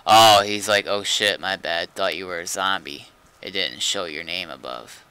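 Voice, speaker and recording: A man with a lowish, very bright and forceful voice chats casually while live streaming a game. His remarks are short and laid-back, with a mild grumble. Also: moderate pace, clear, resonant tone, poor audio. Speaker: game streamer and server owner. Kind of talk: casual live gameplay chat.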